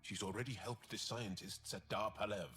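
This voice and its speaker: An actor playing an earnest, loyal alien companion in a video game, sincere and thoughtful. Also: male voice, on the low side, moderate pace, fairly bright tone, soft background music, natural voice. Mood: assured. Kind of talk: video game cutscene acting.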